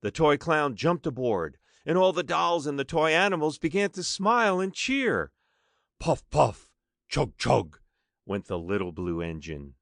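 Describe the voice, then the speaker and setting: A man's voice: a little high, animated, measured pace, gravelly, fairly bright tone, no echo, clean studio sound. A man narrating a children's story expressively, with dramatic emphasis as an audiobook narrator.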